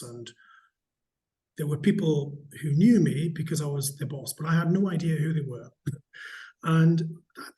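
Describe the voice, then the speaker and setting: A middle-aged man from Newcastle with a slight Geordie accent, answering interview questions in a measured, candid way with frequent restarts. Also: a little high, mellow, rough recording. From Newcastle, England. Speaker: hotel company chief executive, interviewee.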